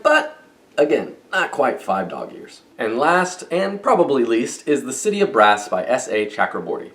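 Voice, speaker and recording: A man's voice: mid-range voice, fairly bright tone. A man hosting a book review vlog in semi-scripted talk, with wry, playful asides.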